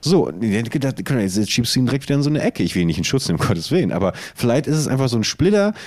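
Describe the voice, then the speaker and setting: A man's voice: medium pitch, sing-song, fast, darkish tone. A man co-hosting a podcast in casual comedic chat, telling things in a rambling, playful and theatrical way. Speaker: podcast co-host.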